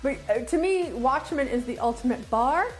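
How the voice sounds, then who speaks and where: High-voiced woman giving an enthusiastic review. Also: lively intonation, normal speed, soft background music. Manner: enthusiastic, emphatic, repeats phrases for emphasis. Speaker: movie reviewer, video host. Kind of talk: casual unscripted review to camera.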